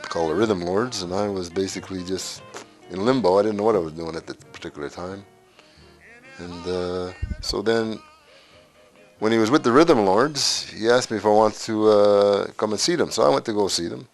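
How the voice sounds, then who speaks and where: A man in his sixties from Canada, recalling his life story in a documentary interview, unhurried and halting with frequent pauses. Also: fairly low pitch, faint music behind. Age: 60s.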